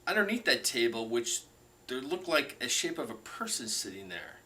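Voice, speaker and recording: Bright-voiced man, casual storytelling. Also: mid-range voice, very animated, normal speed. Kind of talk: reading a listener story, then chatting.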